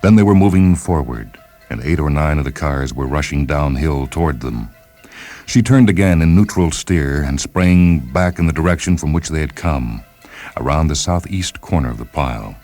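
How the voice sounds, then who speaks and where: A man narrating a short story in a deliberate, dramatic literary delivery, with music behind him. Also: very deep voice, animated, warm tone. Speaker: narrator and reader of short stories.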